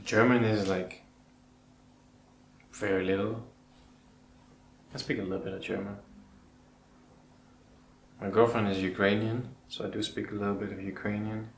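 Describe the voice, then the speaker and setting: A man's voice: lowish voice, level tone, normal speed, vocal fry, long pauses. A man from the Netherlands with a Dutch accent, talking casually and a little hesitantly as he answers questions as a channel host. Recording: roomy echo with background noise.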